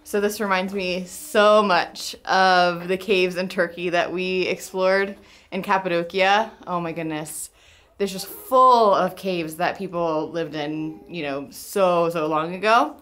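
A woman's voice: lowish voice, steady tone, unhurried, fairly bright tone. Chatty woman marvelling in a cave. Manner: chatty, marvelling, laughs easily. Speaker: vlogger and mother. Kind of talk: casual chat while exploring. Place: inside a rocky cave.

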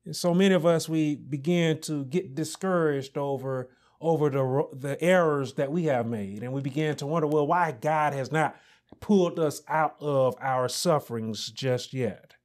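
A man in his thirties preaching a sermon as pastor, building to emphatic repeated lines with deliberate pauses, recorded on a lapel mic in a small church room. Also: fairly high pitch, darkish tone. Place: small indoor church office set with pulpit.

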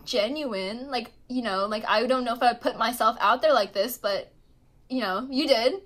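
Giggly high-voiced young woman teasing. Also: moderate pace, a bit bright. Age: young adult. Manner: giggly, teasing, mock-incredulous. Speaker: student reading a friend's essay aloud. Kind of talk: casual group video-call chat. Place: small bedroom indoors. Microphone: laptop or phone on a video call.

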